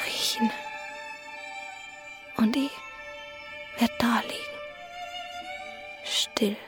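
An actress playing a dreamy, infatuated young woman in a radio play, murmuring her inner thoughts in a breathy, intimate way. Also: high voice, exaggerated voice. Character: dreamy, infatuated young woman, inwardly narrating a longed-for tryst. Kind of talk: whispered radio-play monologue. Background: violin music.

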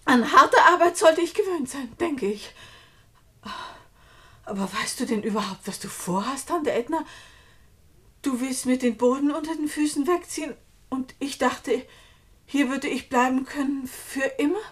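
A woman's voice: high voice, moderate pace, fairly bright tone, dry recording. An actress playing an anxious, downtrodden middle-aged woman in a German radio play, speaking in a hesitant, earnest, pleading way. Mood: hurt, bitter. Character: anxious, downtrodden forty-year-old female companion.

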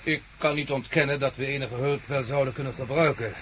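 A man's voice: mid-range voice, steady tone, fairly quick, fairly bright tone, a little hiss or hum, lightly acted. A man playing a smug, mocking, commanding villain in a radio play, switching between mock politeness and orders. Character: smug, mocking, commanding villain guarding his captive men. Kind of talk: Dutch radio play acting.

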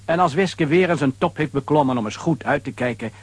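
A man with a husky voice narrating a children's audio adventure as a storyteller. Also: on the high side, animated, brisk, no room sound.

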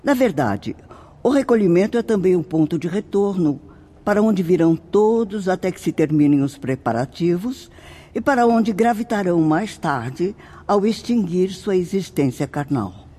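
A woman's voice: lowish voice, animated, moderate pace, dark tone, breathy edge. A Brazilian woman playing a composed, formal spirit sister who instructs newcomers in a radio drama. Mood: composed, instructive. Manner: formal, didactic.

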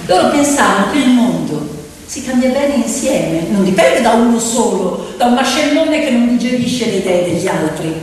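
An actress performing theatrical dialogue and storytelling in a colloquial, wry way with repetition. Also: female voice, on the high side, lively intonation, moderate pace, a little mellow. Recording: echoey hall, distant mic, faint ambient noise.

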